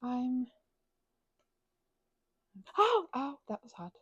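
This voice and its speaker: A woman rambling playfully in casual ASMR craft chat, scatterbrained and often interrupting herself, in a husky, breathy voice that often drops toward a whisper. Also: high-pitched, big pitch swings, extremely slow, dry recording, muffled recording. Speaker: ASMR creator.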